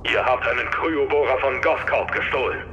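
A man's voice: medium pitch, normal speed, pushed and bright, muffled recording, close to an everyday voice. A man playing a grim, menacing masked vigilante in an audio drama, speaking in terse commands. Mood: threatening.

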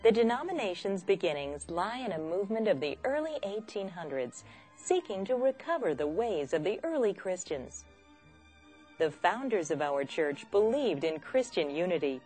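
A woman reading scripted documentary narration in a measured, expressive way. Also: fairly low pitch, faint music behind.

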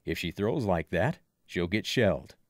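A man voicing a pushy, fanatical ex-ballplayer in his thirties, gruff and blunt, for an audiobook. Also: low voice, expressive, measured pace, no room sound, clean studio sound, pitched below the performer's own voice. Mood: pushy, scolding. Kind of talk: audiobook character voice.

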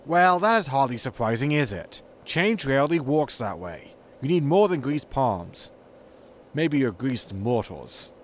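Man as an exasperated spaceship captain, animated pitch. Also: measured pace, a little hiss or hum. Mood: dry, weary. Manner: weary, scolding, dry. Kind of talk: sci-fi sitcom audio drama acting.

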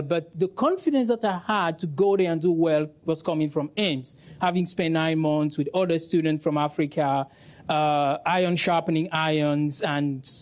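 A man from Cameroon, earnestly telling his story on a panel and laughing now and then. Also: a little high.

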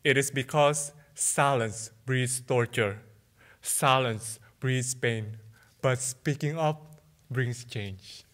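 A young man from Indonesia delivers a contest speech from a lectern in a big theatre. He speaks in a measured, emphatic way, pausing between phrases, with a mid-range pitch for a man. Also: a bit bright. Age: young adult. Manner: measured, emphatic oratory with pauses. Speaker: student orator. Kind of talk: competition speech. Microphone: lectern microphone.

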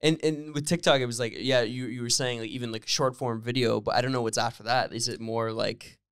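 A man's voice: medium pitch, fairly even intonation, a little fast, fairly bright tone, clean tone, dry recording, studio quality. A young man hosting a music podcast, asking questions casually with stumbling restarts and encouraging back-channels. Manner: encouraging, frequent yeahs and laughs, stumbling questions. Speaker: podcast host. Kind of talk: casual interview chat. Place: small room with bare walls. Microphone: handheld dynamic mic, headphones on.

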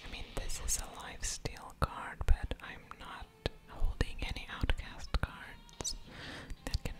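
A woman speaks in a hushed whisper with soft mouth sounds, in the style of ASMR gaming. She takes her time, murmuring her choices as she thinks aloud through a card game. Pauses fall between her short, unhurried phrases.

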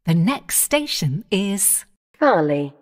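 Woman, onboard train announcer; slow, even delivery with a lively rise and fall, station-by-station phrasing. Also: mid-range voice. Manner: measured, clipped station-by-station phrasing.

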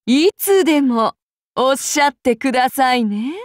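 A woman playing a soft-spoken, menacing female demigod avenger in an anime game, using archaic, formal and polite speech. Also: high voice, animated, a little slow, dry recording, clean studio sound. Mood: gently menacing. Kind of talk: anime mobile game voice lines.

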